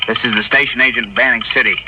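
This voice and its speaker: An actor playing a brusque small-town railway station agent, talking bluntly and loudly on the phone in an old radio drama. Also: male voice, medium pitch, steady tone, fast, lightly acted. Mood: urgent, brusque. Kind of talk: 1940s-50s radio drama acting. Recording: muffled, very noisy old radio broadcast.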